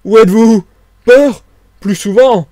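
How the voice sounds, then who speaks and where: A man acts out a storybook tramp, gruff and easily alarmed. The voice jumps well above ordinary talk, loud and exclamatory, blustering and grumbling in bewilderment, in a dry recording. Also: high voice, normal speed, no echo, voice pushed up, natural voice. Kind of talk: dramatized audiobook reading.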